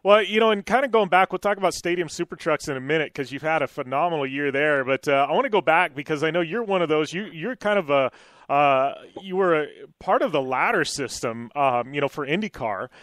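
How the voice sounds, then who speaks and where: A man's voice: on the high side, lively intonation, brisk, a bit bright, no room sound, studio quality. A man hosting a casual radio interview, chatty and rambling through his questions with many fillers. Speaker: radio show host.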